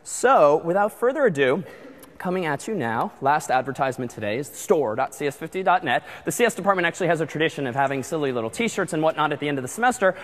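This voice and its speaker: Guy lecturing in a big hall, kinda high voice, long reverb, lots of uhs. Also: animated, quick pace. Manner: chatty, frequent ums and uhs. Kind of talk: lively lecture announcements.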